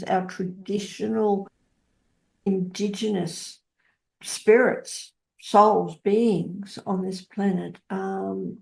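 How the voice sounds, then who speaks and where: A woman hosting a spiritual interview, speaking solemnly in halting, short phrases. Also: medium pitch, creaky, a little mellow, rough recording. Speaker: interview host.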